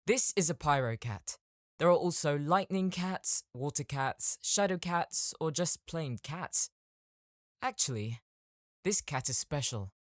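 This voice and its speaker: A man's voice: fairly high pitch, expressive, measured pace, no echo. Man playing a knowing young gamer mage, dry sound. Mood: informative. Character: young male fantasy gamer, knowing and eager spellcaster. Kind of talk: audiobook character acting.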